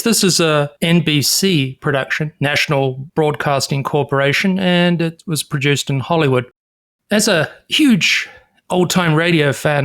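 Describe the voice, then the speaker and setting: A man co-hosting a podcast, explaining history in a measured, informative way. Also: fairly high pitch, a bit bright. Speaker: podcast co-host. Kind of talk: casual chat.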